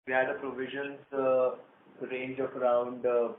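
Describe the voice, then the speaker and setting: A man speaking as a finance executive on an earnings call, in a halting, businesslike delivery. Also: mid-range voice, completely flat delivery. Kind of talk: earnings call remarks and answers. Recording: noisy, tinny phone conference line.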